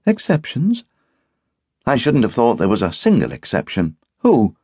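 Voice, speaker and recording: A man voicing a brisk, opinionated English wife and hostess in an audiobook, with an arch, emphatic manner. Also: mid-range voice, huge pitch swings, dark tone, husky, dry recording, voice pushed up, heavily acted. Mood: scornful.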